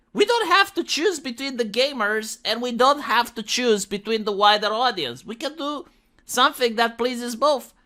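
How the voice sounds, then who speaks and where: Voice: medium pitch, pushed and bright. A commentator giving opinionated, casual commentary in an emphatic, exasperated, argumentative way. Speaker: commentary host.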